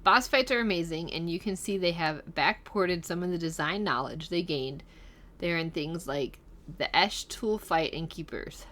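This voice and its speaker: A middle-aged woman with a voice a little on the low side. She reads comments aloud in a casual, conversational style, musing over them as a guest host would. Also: moderate pace, a bit bright. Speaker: guest host of a gaming channel reading viewer comments. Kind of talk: casual reading aloud of comments with chatty asides.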